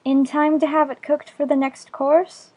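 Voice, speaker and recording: Guy doing a squeaky polite little girl, earnest, storybook. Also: level tone, unhurried, darkish tone. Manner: high falsetto, polite and earnest. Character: polite curious young girl.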